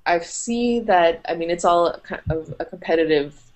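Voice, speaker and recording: A woman's voice: on the low side, normal speed, darkish tone. A young woman from Canada, chatting upbeat as a guest on a podcast interview, with many fillers. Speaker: content marketing manager, interview guest.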